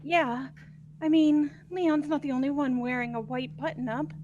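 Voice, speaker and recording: Woman voicing a youthful student, high voice, debate lines, amateur game dub. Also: steady tone, moderate pace, lo-fi sound, background noise, close to an everyday voice. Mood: doubting. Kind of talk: amateur voice acting in a visual novel playthrough.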